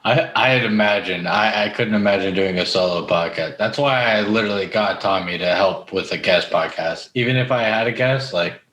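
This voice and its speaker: A man's voice: fairly low pitch, moderate pace, hardly any pauses, a bit bright. A man hosting a podcast in a casual, conversational interview style. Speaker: podcast host.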